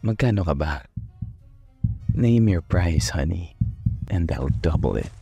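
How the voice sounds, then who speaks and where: A man's voice: deep voice, expressive, slow, dark tone, slightly rough, no room sound, dull audio, faint music behind, exaggerated voice. A man playing a sleazy, predatory rich playboy in a radio serial, with an insinuating drawl. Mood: leering. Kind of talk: radio serial drama acting.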